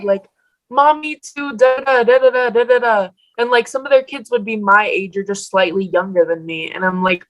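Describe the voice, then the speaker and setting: A woman's voice: mid-range voice, low-quality recording. A teenage girl with a Mexican accent, a guest on a video call, talking in a chatty, upset way. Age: teens. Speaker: guest, fan page owner.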